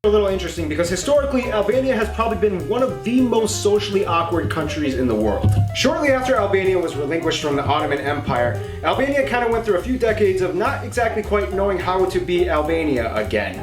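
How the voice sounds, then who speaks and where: Lively, higher-pitched man presenting over music. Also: fast, hardly any pauses. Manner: playful, informal wording with dramatized asides. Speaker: educational geography show presenter. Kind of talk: scripted educational narration. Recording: roomy echo, music underneath.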